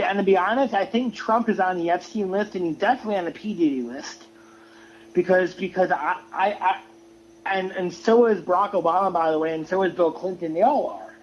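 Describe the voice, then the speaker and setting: A man giving an opinionated, casual rant on a livestream, halting and often repeating his words. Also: high-pitched. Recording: muffled lo-fi audio with noise.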